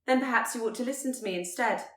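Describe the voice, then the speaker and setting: A woman voicing a headstrong, sharp-tongued young gentlewoman in a period romance audiobook, formal and pointed. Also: a little high, quick pace, echoey room, voice pushed up, close to an everyday voice. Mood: insistent.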